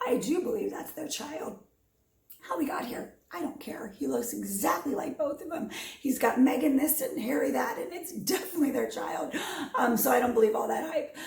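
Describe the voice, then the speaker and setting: Raspy, high-voiced woman ranting at home. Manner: blunt, rambling, frequent ums. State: hoarse from allergies. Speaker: vlogger. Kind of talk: opinionated casual commentary. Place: home during quarantine. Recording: echoey room with music behind.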